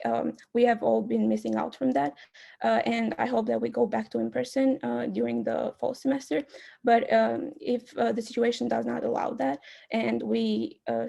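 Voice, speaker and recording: Young woman, super gravelly fry voice, kinda halting with tons of ums, campaign intro on a call. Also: on the high side, dark tone. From Albania. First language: Albanian. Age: young adult. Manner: hesitant, many uh and um fillers. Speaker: student club board candidate. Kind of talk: self-introduction campaign speech.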